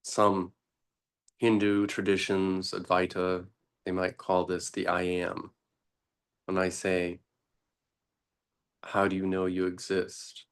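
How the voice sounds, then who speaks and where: A man teaching meditation in an unscripted, deliberate way, pausing often between short phrases. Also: sits low, monotone, clear, resonant tone.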